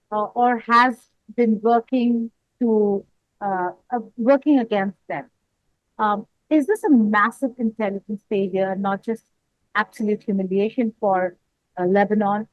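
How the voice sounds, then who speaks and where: Woman, news interviewer, slightly high voice, dry sound, deliberate emphatic phrasing, broadcast questioning. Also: no echo, highs cut off.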